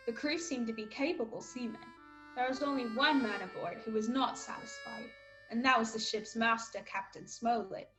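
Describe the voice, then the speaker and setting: A woman's voice: on the high side, moderate pace, over music, lightly acted, poor audio. A woman playing an earnest young cabin boy who narrates his sea tale in a scripted radio play. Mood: earnest, storytelling.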